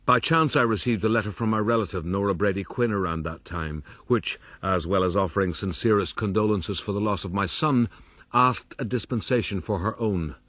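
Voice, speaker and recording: A man playing a scheming, self-pitying gentleman rogue who narrates his own life in a formal, self-justifying way for a radio drama. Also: lowish voice, moderate pace, no echo. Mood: smug, measured.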